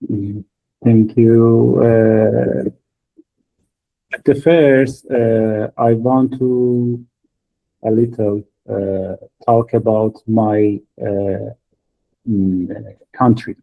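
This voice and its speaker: Slow, halting man with a thin phone-like sound. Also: lowish voice, fairly even intonation, vocal fry. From Iran. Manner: halting, many filler pauses, non-native phrasing. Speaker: podcast co-host. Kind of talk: podcast conversation.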